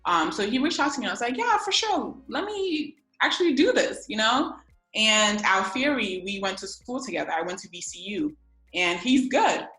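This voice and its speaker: A woman's voice: medium pitch, very animated, moderate pace, sharp tone, echoey room, faint music behind, rough recording. A woman in her twenties from Ghana, chatting casually as a guest over a video call, with lots of fillers and slang. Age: 20s. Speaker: guest, publicist.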